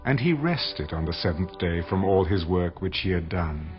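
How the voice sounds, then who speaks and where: A man narrating dramatized scripture in a solemn, measured manner. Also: deep voice, expressive. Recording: dull audio under loud music.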